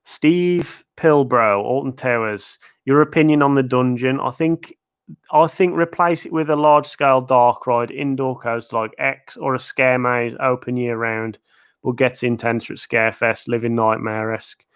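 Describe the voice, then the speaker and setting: A man's voice: medium pitch, steady tone, moderate pace, clean tone. A man hosting a live-stream Q&A about theme parks, chatty and rambling with lots of fillers and chuckles, his voice getting worn. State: losing his voice. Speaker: theme park video creator. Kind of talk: live-stream Q&A, casual chat. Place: at home, in his living room.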